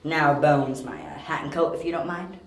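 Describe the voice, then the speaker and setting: A man playing a clever, determined young detective in an amateur audio drama, wry and quick-witted. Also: fairly high pitch, fairly even intonation, creaky, a little hiss or hum. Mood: wry, confident. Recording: echoey room, amateur home recording.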